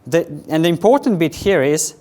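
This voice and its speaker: A young man from Slovenia with a Slovenian accent, giving a quick, chatty technical conference talk to a live audience. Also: on the high side, animated, dark tone. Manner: quick, chatty presenter with filler words, addressing a live audience. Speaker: conference speaker.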